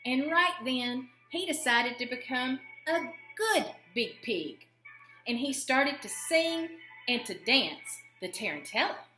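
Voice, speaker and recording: A woman narrating a children's story expressively, with dramatic emphasis and pauses. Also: high voice, animated, sharp tone, echoey room, soft background music, poor audio. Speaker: story reader.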